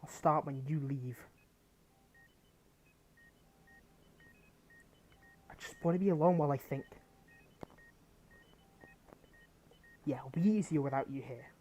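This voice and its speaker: A man playing a bitter, defiant, sarcastic superpowered fighter in a dramatic audio roleplay, speaking haltingly with a biting edge. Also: on the high side, dark tone, natural voice. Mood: bitter, dismissive.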